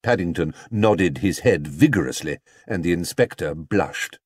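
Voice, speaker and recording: A man narrating a children's story as an audiobook reader, in an expressive scripted style. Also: low voice, unhurried, dry recording, studio quality.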